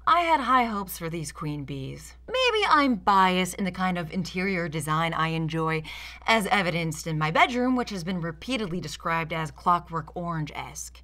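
A woman's voice: on the low side, expressive, normal speed, bright, forceful tone. A woman in her thirties hosting satirical video commentary in an arch, theatrical, mock-sincere way. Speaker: video essay host. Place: indoor studio set with plain backdrop.

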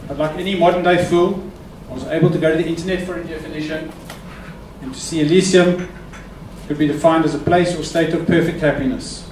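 A man's voice: a little high, fairly even intonation, a little fast, a little mellow, slight background noise. A man opening a conference with a formal, courteous welcome before handing over to the speaker. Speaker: host introducing the speaker. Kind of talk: welcome speech. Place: conference hall. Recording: echoey hall from far off.

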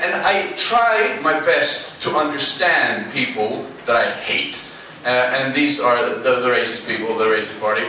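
Swedish man, 30s, slam poet, sharp bright tone, self-deprecating patter, club PA caught from far off. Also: mid-range voice, heavy reverb, a little hiss or hum. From Sweden. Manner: dry, self-mocking, halting with many fillers. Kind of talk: stand-up style spoken word banter. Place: small club stage. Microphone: stand mic on stage, recorded from the audience.

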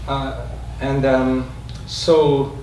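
A middle-aged man with a Japanese accent addresses a group as a guest speaker. His delivery is very slow and halting. He is away from the mic in an echoey room. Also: medium pitch, little pitch movement, warm tone. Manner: halting, hesitant. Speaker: guest speaker, writer and researcher. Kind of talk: informal speech to a group. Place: indoor meeting room, standing. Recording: echoey room, distant mic, clear background noise.

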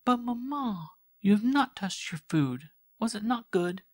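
A man's voice: high voice, expressive, a little slow, a little mellow, no room sound, clean studio sound, pitched above the performer's own voice. A man voicing a young French girl who coaxes and teases her brother playfully. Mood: hurried coaxing. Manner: coaxing, playful.

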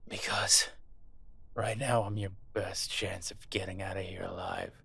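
A man's voice: deep voice, steady tone, a little slow, vocal fry, pushed and bright, slightly rough, dry recording, exaggerated voice. A man playing a captive werewolf alpha soldier in an audio roleplay, defiant, protective and sardonic as he urgently argues and swears. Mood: exasperated, urgent. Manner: profane, sardonic, urgent persuading.

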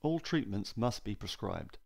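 A man narrating a scripted training film in a measured, formal, clearly enunciated way. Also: on the low side, lively intonation, a little mellow.

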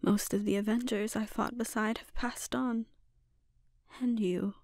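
A woman voicing a calmly dominant, taunting sorceress villain in an intimate ASMR roleplay, speaking deliberately and lapsing into breathy whispers. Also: on the high side, no echo, clean studio sound. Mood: intense, resolute.

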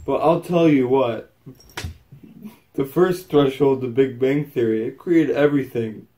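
A young man giving a casual, partly scripted history presentation in a loose, halting way, recorded indoors a few meters from a phone camera. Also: medium pitch, warm tone. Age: young adult. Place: small indoor room at home.

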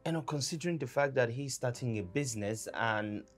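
A man acting an earnest, apologetic husband in a film drama, halting and stammering when pressed. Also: mid-range voice, faint music behind, close to an everyday voice. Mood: defensive, apologetic. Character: earnest, apologetic husband who gets loudly indignant.

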